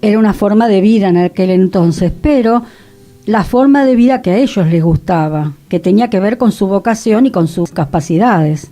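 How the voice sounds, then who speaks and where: A woman with a Rioplatense accent speaking on a radio panel about literature and theater, thoughtful and measured. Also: medium pitch, dark tone, faint music behind. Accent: Rioplatense Spanish.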